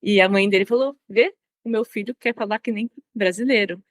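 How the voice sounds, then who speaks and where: A woman's voice: medium pitch, brisk, no room sound, studio quality. A Brazilian woman being interviewed as a language consultant, speaking reflectively and often restarting her phrases. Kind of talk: conversational interview.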